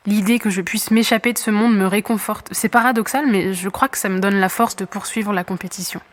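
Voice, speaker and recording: A woman's voice: medium pitch, a little fast, close to an everyday voice. A woman acting as a discouraged young runner in a fiction podcast, speaking in a frank, colloquial and earnest way. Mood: reflective.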